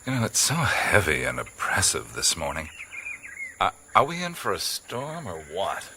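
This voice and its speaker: An actor playing a relaxed, wry adventurer in a radio drama, speaking in an easy drawl. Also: male voice, deep voice, moderate pace, noisy background, full character voice. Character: easygoing adventurer man, wry and dreamy. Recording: jungle ambience with insects and birds.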